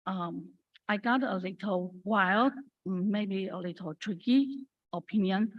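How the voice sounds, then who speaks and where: A woman's voice: medium pitch, slow, no echo, dull audio. Woman, hesitant audience speaker, dry close mic. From Taiwan. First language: Mandarin Chinese. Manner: hesitant, many fillers. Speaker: audience member speaking from the floor.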